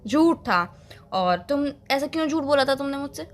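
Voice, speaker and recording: A woman's voice: sits high, expressive, normal speed. A young woman playing a hurt, accusing friend who confronts a man over a call, scolding him with pointed questions.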